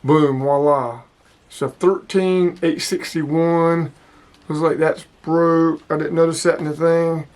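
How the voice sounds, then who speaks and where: A man's voice: on the high side, steady tone, slow, darkish tone. A man giving casual, hands-on unboxing commentary, informally thinking aloud as he handles the gear. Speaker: vlogger unboxing radio gear.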